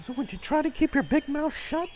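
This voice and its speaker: A man voicing a perky, teasing young woman in a visual novel, in a squeaky, flippant falsetto. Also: lively intonation, fairly quick, noisy background. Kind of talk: visual novel character read aloud.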